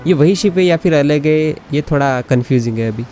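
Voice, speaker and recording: A man's voice: fairly high pitch, rapid-fire, dark tone, soft background music. A man with an Indian accent and native Hindi, giving casual, giggly gameplay commentary that mixes Hindi and English. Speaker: gaming YouTuber.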